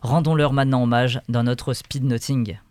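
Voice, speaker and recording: A man's voice: mid-range voice, steady tone, normal speed, noisy background. A man hosting a casual film podcast, leading segments with a jokey tone. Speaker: host.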